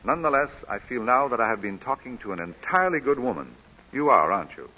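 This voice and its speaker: Suave English lord, lively and bright. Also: male voice, medium pitch, quick pace, breathy edge, heavily acted. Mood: flirtatious, wry. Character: suave, flirtatious English lord, amused and insistent. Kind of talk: 1940s radio acting. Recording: muffled old radio broadcast, music behind.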